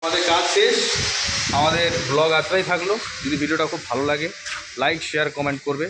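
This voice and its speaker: A man's voice: a little high, steady tone, fast talker. A man giving casual daily vlog narration, speaking to his viewers as he goes about his day. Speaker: vlogger. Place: on location at home, roads and work sites. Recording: clear vehicle and outdoor noise.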